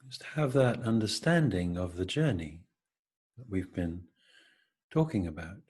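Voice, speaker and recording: A man leading an unscripted, reflective guided meditation, speaking gently in halting phrases with long pauses. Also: low voice, very animated, husky.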